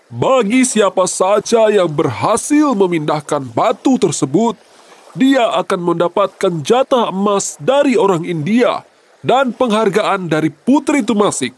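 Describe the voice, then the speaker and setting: High-voiced animated royal attendant. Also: male voice, measured pace, heavily acted. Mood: proclaiming. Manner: formal, deferential. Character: loyal royal attendant and announcer to princess. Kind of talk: children's folktale audio drama.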